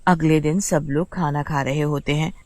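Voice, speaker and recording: A woman narrating a cartoon story in a smooth, expressive storytelling manner. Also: low voice, moderate pace, dry recording. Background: faint music.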